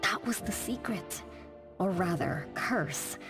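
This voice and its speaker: A woman voicing a gentle, wistful noblewoman in an anime game, speaking politely and softly. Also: fairly low pitch, animated, takes it slowly. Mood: wistful, solemn. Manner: polite, soft-spoken, reflective. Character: gentle, wistful, long-lived young noblewoman. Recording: music behind the voice.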